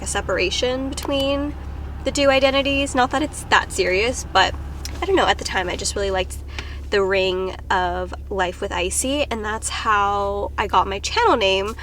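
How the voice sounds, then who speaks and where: A woman's voice: medium pitch, a bit bright, a little hiss or hum. A woman, a travel vlogger chatting casually to the camera, a little congested from a cold, with lots of "like" and "um". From Philippines. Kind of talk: casual vlog talk to camera. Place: driving around and outdoors in summer.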